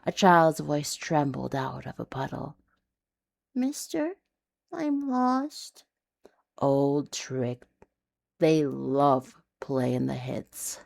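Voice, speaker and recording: A woman reading a noir urban fantasy story in a hushed, dramatic, deliberate way. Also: very deep voice, steady tone, vocal fry. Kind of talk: scripted noir confessional storytelling.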